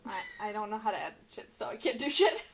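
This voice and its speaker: A young woman co-hosting a casual chat, reacting to stories in an animated, exclamatory, informal way. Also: fairly high pitch, quick pace, noisy background. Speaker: podcast co-host.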